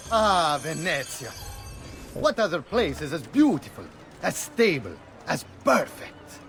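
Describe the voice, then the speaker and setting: A man's voice: fairly high pitch, very animated, a little slow, gravelly, fairly bright tone, soft background music, full character voice. A man playing a proud Venetian tour guide in a video game, with an Italian accent and a theatrical, effusive manner. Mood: proud, gushing. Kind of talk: video game character acting.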